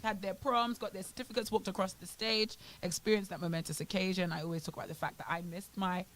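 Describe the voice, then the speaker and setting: British woman on morning radio, chatty and animated, slips into a bahamian lilt sometimes, talks in a studio. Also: mid-range voice, quick pace. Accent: British, at times Bahamian. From Britain. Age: young adult. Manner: chatty, animated storyteller, acts out quotes. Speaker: radio show co-host. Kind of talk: casual morning radio chat.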